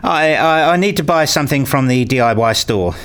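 A man playing an earnest, pedantic husband and father who narrates his day in a radio play, with a deliberate, fussy manner. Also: medium pitch, lively intonation, a bit bright, clean tone, close to an everyday voice. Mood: weary. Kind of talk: radio play dialogue and first-person narration.

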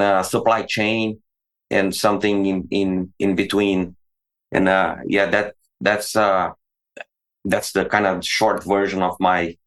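A man in his forties from Brazil, speaking with a Brazilian Portuguese accent as a veterinary professor guest on an interview, talking in a halting way with many restarts and repeated words. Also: deep voice, a bit bright. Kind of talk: conversational interview.

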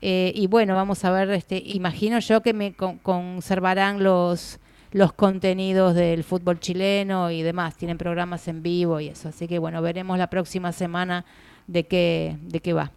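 An Argentine woman co-hosting a casual industry chat, giving news in a measured way with fillers. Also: fairly low pitch, little pitch movement, a little mellow, smooth, dry recording. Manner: measured, informative, hesitant fillers. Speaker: co-host reporter.

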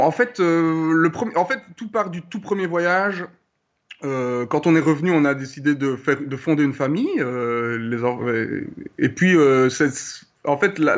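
A man in his thirties from Liège with a Belgian French accent, a guest answering interview questions with many pauses and fillers. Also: on the high side, dull audio. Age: 30s. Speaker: guest, traveler. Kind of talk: remote interview.

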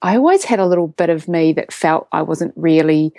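A woman with a New Zealand accent talks through her career as an interview guest, eager and a little halting, full of ums and repeated words. Her voice sits on the low side, and the recording is dry and close with no room sound. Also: expressive, warm tone, no echo. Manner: enthusiastic, with frequent restarts and ums. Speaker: guest, neuroscientist and science communicator. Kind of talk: conversational interview.

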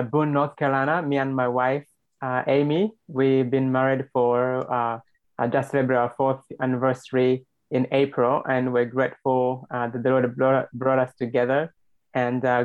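Young man from Rwanda, interview guest, steady flat delivery, dull lo-fi sound. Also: mid-range voice, smooth. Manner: measured, hesitant, many fillers. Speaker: guest, spokesperson. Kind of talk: interview storytelling.

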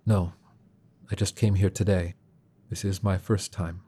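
A man voicing a calm, gentle man with a soft, soothing, unhurried delivery. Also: low-pitched, slightly rough. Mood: calm, friendly.

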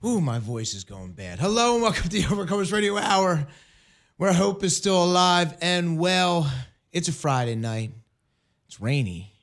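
Hoarse-voiced male radio host. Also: high voice, expressive. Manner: earnest, halting with many restarts. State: hoarse from illness. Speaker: ministry program director.

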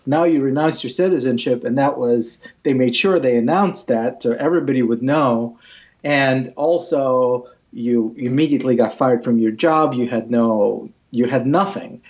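A Russian-speaking man from Ukraine, heard as a guest recounting his past in a sincere, thoughtful manner. His voice sits at an ordinary pitch for a man. The recording is slightly dull and lo-fi. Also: moderate pace, darkish tone. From Ukraine, former Soviet Union. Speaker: interview guest. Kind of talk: personal storytelling in conversation.

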